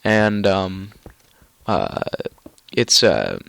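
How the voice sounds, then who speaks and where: A man's voice: low voice, big pitch swings, drawn-out words, creaky, a bit bright, no room sound, clean studio sound. A young man with a stuffy, nasal cold, rambling casually through gameplay commentary with lots of ums and restarts. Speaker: gaming YouTuber.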